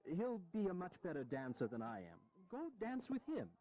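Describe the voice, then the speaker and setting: An aging American statesman of the founding era in a historical audio drama. His mellow voice moves freely up and down, wry and self-deprecating, with blunt conviction underneath. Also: on the high side, huge pitch swings, moderate pace. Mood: wry, amused. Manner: sardonic, earnest, blunt, given to chuckling.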